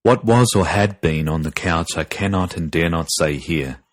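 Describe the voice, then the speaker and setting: A man narrating a scripted horror story in a grave, deliberate and ominous manner. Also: deep voice, no room sound, studio quality. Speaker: narrator and podcast host.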